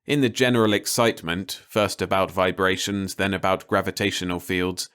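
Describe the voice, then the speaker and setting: A man reading a story aloud as an audiobook narrator, in a measured, wry storytelling manner. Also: sits low, fairly even intonation, a bit bright, clear, resonant tone. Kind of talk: scripted story narration, read-along audiobook.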